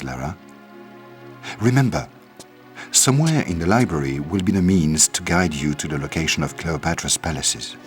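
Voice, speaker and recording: Low-voiced adventurer's ally, cautious. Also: male voice. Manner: measured, formal, cautionary. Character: worldly older male ally of an adventuress, anxious and cautious. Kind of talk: video game cutscene acting. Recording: game music behind the voice.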